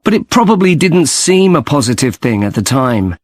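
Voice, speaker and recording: A man's voice: medium pitch, very animated, moderate pace, no echo, natural voice. Man acting as a thoughtful student, dry sound. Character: thoughtful, sceptical male university student. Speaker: student in a scripted discussion. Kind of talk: scripted exam dialogue.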